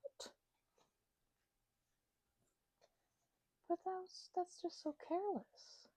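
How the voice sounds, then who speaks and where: A woman playing a chatty, supportive, slightly weary housemate in an intimate ASMR roleplay, rambling and hesitant in a soft, playful, conversational way. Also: extremely high pitch, moderate pace, dry recording, poor audio. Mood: animated, musing. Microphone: close microphone, dry.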